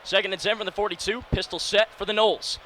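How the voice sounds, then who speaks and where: A man in his early twenties calling live football play-by-play on radio with energetic, clipped phrasing. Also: sits high, fast. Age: early 20s. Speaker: play-by-play announcer. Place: sports broadcast position inside a domed stadium. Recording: steady stadium noise behind. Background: stadium ambience.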